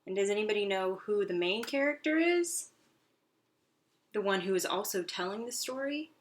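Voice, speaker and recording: A woman's voice: mid-range voice, animated, moderate pace. A woman teaching young pupils in a read-aloud lesson, encouraging and instructional, with simple phrasing and frequent questions. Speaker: elementary school teacher. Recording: noisy recording with clicks.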